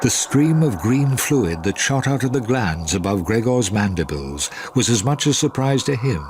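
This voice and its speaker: A man's voice: mid-range voice, big pitch swings, moderate pace, a little mellow, close to an everyday voice. A man reading scripted narration for a radio drama. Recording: very noisy recording.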